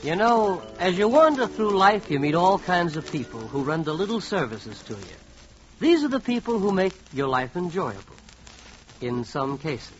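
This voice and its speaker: A man's voice: high voice, very animated, moderate pace, over music. A man narrating a 1940s radio comedy in a wry, conversational, second-person style. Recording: muffled, faintly noisy 1940s radio recording.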